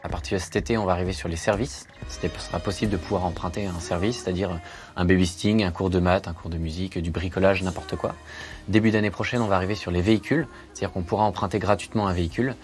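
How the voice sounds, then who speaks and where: Deep-voiced man explaining his startup. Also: fairly even intonation, quick pace, faint music behind. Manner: fluent with many fillers. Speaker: startup founder interviewed. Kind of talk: explaining a project in an interview.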